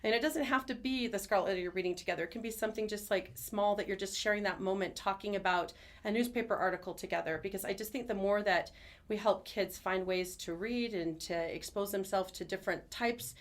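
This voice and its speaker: Fast-talking, enthusiastic woman. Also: medium pitch. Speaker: schoolteacher as podcast guest. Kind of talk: conversational interview answers. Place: studio.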